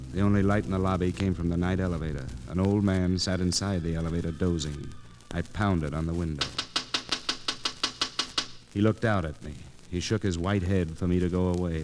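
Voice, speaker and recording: An actor playing a grim, wry newspaper reporter in 1940s radio noir style, with terse, clipped, dramatic phrasing. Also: male voice, sits low, close to an everyday voice. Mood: tense, brooding. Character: hard-boiled male newspaper reporter, grim, wry and determined. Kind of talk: 1940s radio noir acting, first-person narration. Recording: crackly, noisy 1940s radio transcription disc.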